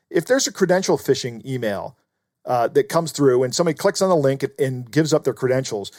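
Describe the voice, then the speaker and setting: A man's voice: mid-range voice, a little mellow, dry recording, studio quality. A man co-hosting a podcast, giving earnest, explanatory commentary in a conversational way. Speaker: podcast co-host.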